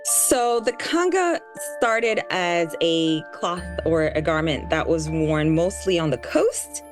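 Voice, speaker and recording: A woman's voice: fairly low pitch, animated, slow pace. A woman from Tanzania whose first language is Kiswahili, a guest explaining things on a kids' podcast. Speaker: guest educator and author. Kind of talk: podcast interview. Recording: music bed underneath.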